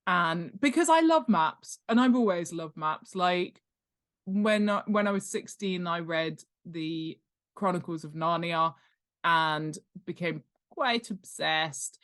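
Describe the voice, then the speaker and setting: A woman with a slightly low voice speaks as a guest in a casual interview. She is chatty and humorous, with a self-deprecating streak. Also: animated, slow, a bit bright. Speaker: guest author and world-building expert.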